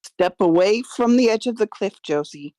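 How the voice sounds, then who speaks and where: A woman's voice: medium pitch, animated, moderate pace, warm tone, no echo, lightly acted. A woman acting a frightened, pleading friend in a screenplay table read, urgent and emphatic.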